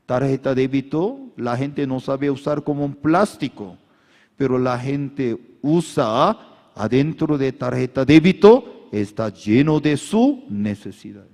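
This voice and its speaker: A man's voice: medium pitch, animated, slow pace, a little mellow. A male pastor preaching a sermon in simplified, non-native Spanish, calling on the congregation to repeat after him and raise their hands. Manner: preaching with call-and-response to the congregation. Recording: echoey hall.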